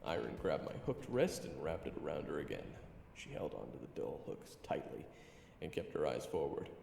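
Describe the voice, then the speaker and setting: A man's voice: extremely low pitch, sing-song, normal speed, vocal fry, darkish tone, full character voice. A man playing a wry young adventurer who narrates his own fantasy tale in a candid, conversational way. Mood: wry storytelling. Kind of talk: first-person story narration. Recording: reverberant, music behind.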